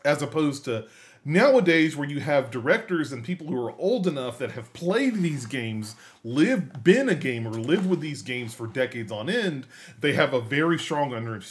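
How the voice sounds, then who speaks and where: A man's voice: medium pitch, lively intonation, normal speed. A man co-hosting a podcast in casual discussion, emphatic, often repeating and rephrasing his points to drive them home. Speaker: podcast co-host. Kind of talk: casual discussion of films and games.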